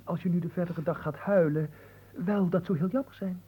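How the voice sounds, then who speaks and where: An actor playing a lovelorn young manservant in a radio play, florid, evasive and poetic, stammering in melodramatic sorrow. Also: male voice, high voice, fairly even intonation, fairly quick, mellow, husky, low-quality recording. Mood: soothing, coaxing.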